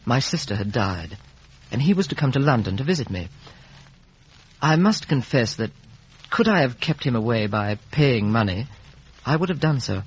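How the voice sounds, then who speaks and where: An actor playing an earnest, polite young gentleman in a 1950s radio drama, narrating and speaking in measured, literary fashion on a muffled old recording. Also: medium pitch, sing-song, slightly rough. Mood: reflective.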